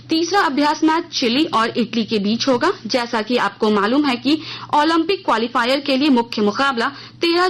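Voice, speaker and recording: A woman's voice: high voice, a bit bright. An Indian woman reading a formal, scripted radio news bulletin as the news reader.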